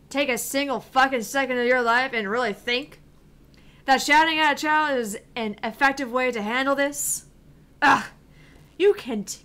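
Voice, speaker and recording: Brash young female gamer champion, woman acting, bright, sharp, theatrical, swearing, video game character. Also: high-pitched. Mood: furious, indignant. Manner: theatrical, indignant, foul-mouthed.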